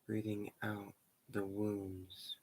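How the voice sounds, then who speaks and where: A man leading a guided meditation in slow, measured short phrases with pauses between them. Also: fairly low pitch, flat delivery, darkish tone, breathy edge.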